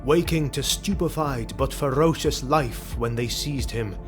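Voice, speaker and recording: Solemn male fantasy narrator over music. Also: mid-range voice. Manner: solemn, deliberate, literary delivery. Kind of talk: scripted dramatic fantasy story narration. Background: background music.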